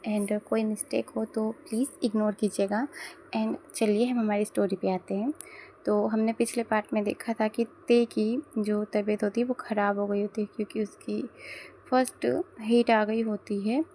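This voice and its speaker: Woman, sore throat, casual story narration, mellow tone, faint noise behind. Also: mid-range voice, little pitch movement, moderate pace. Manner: chatty, mixes in English words. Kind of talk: casual fan-fiction narration.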